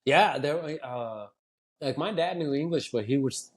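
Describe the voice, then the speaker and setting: A man's voice: on the high side, measured pace. Laid-back Texan man hosting a podcast. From Texas, USA. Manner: relaxed, swears freely, laughs often. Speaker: podcast host. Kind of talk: casual chat.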